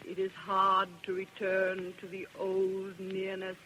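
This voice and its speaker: An actress playing a newly dead woman risen to prophesy, sorrowful and solemn, in halting radio verse. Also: mid-range voice, completely flat delivery. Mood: mournful, solemn. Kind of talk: 1930s radio verse drama acting. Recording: bright, noisy old radio recording.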